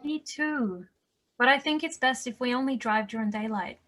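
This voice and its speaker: A woman's voice: on the high side, moderate pace, fairly bright tone, lightly acted. A woman at a table read playing a practical, bossy woman who takes charge. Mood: reasoning.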